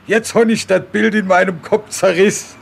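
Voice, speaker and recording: A man's voice: high-pitched, fairly even intonation, unhurried, creaky. An older man telling his memories as an eyewitness in a spontaneous, folksy way. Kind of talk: spontaneous oral storytelling.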